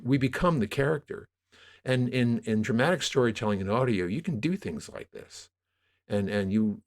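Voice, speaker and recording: A man speaking as an interview guest, explaining his production work conversationally and often rephrasing mid-sentence. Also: on the low side. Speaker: podcast guest, audio drama producer.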